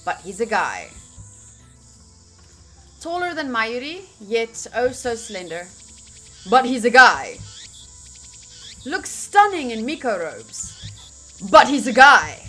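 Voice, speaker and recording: A woman's voice: on the high side, bright, forceful tone. A woman game streamer reading a visual novel aloud theatrically, mixed with casual reactions. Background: faint game music.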